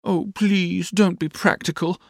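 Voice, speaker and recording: Guy doing a timid girlish victorian sweetheart, really high pleading wail, swoops around, audiobook. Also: expressive, moderate pace, no room sound, clean studio sound. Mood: coaxing. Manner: coaxing, wailing, easily frightened. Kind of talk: audiobook character voice.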